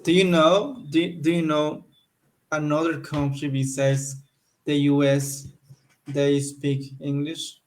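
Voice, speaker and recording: Halting Spanish-accented man, fairly high and flat. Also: low-quality recording. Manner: halting, searches for words. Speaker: English learner. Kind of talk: conversation practice. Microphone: video call.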